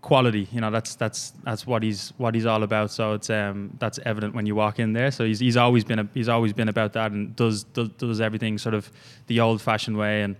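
A young man with an Irish accent, a gym coach, telling his story in a halting, rambling way with many fillers in a casual interview. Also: fairly low pitch, little pitch movement. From Ireland.

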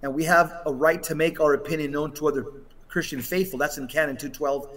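Higher-pitched man, emphatic religious commentator. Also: steady tone, fairly quick, a bit bright. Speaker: podcast guest commentator. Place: hotel room, on a remote connection.

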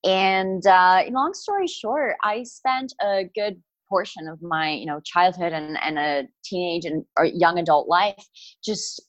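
A woman's voice: on the low side, unhurried, dry recording. A young woman from Italy with an American accent, reflective and full of fillers as she tells her story in an interview. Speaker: guest, writer and coach.

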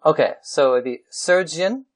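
A man hosting a podcast, introducing the episode clearly and steadily before interviewing a guest. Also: a little high, expressive, a little slow.

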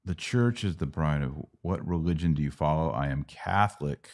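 Middle-aged male podcast host, American, extremely low voice, informal teacherly Q&A delivery. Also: normal speed. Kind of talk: live Bible Q&A, casual teaching.

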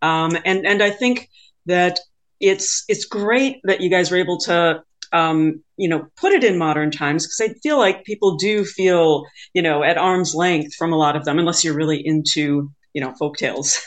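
A woman interviewing in a conversational style, with thoughtful, halting phrasing. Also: fairly low pitch. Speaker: podcast interviewer.

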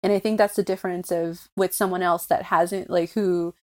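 A chatty woman from the United States talks through a TV show on a casual podcast. Her voice sits fairly low, and she often restarts her sentences and laughs lightly as she goes. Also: little pitch movement, normal speed, darkish tone. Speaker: podcast co-host. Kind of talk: casual chat discussing a TV show.

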